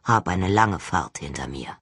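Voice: sits low, lively intonation, a little slow, a bit bright, slightly rough, no echo, studio quality, voice pushed down, exaggerated voice. An audiobook reader voicing a stern, arrogant older male agency director, speaking in an expressionless, formal and condescending way. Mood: curt, cool. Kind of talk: audiobook character acting.

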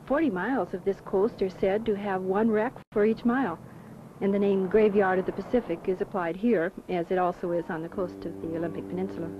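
Female travelogue narrator, calm and descriptive, vintage lo-fi recording with clear hiss. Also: medium pitch, a little fast. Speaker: documentary narrator. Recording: muffled old film soundtrack with clear hiss.

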